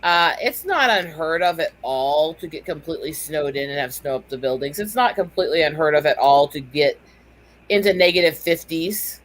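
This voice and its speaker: Emphatic American woman with a lowish voice. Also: expressive, normal speed, poor audio. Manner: emphatic, freely swearing. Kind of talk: casual chat.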